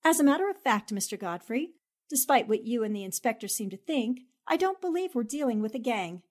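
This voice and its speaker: Woman playing a high-voiced, plucky 1940s girl reporter. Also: expressive, fairly quick, a little mellow. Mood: confident. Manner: talks to herself, flippant, arch. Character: plucky, wisecracking young newspaperwoman sleuth. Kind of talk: 1940s radio drama acting.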